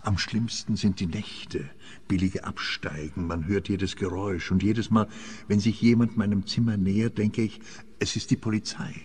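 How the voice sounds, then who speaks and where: Dark-voiced, brooding fugitive in reverb. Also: male voice, fairly low pitch, steady tone, slightly rough. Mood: weary, anxious. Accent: Swiss. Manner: halting, reflective. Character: weary, melancholy, brooding male fugitive client. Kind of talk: radio play monologue. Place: scene set in echoing church. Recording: long church-like reverb.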